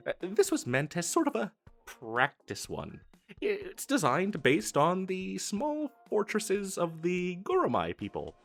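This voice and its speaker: A man's voice: high-pitched, vocal fry, exaggerated voice. A man playing an eager, pushy wizard salesman in a comedic fantasy roleplay, chatty and stammering through his sales patter. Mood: flustered, reluctant, then chatty, selling.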